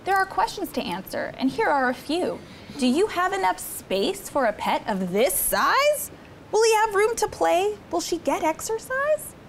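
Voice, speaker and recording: High, lively young woman reading to kids. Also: a little slow, a little hiss or hum. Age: young adult. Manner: expressive, sing-song rhymed reading. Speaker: children's story time host. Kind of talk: animated read-aloud to children, with chat. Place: indoor studio set with children seated on a rug.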